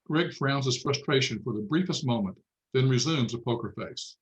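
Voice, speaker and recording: A man's voice: medium pitch, moderate pace, low-quality recording. A man narrating stage directions matter-of-factly at a screenplay table read.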